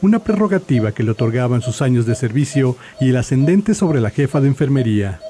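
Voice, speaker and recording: A man giving a dramatic scripted narration as an audiobook narrator. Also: medium pitch. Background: music.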